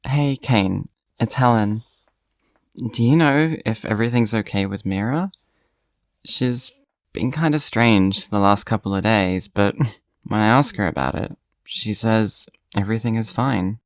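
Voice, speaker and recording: A woman acting a concerned, hesitant friend leaving a gentle, casual voicemail in an audio drama. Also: a bass voice, measured pace, no room sound, clean studio sound. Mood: worried, tentative.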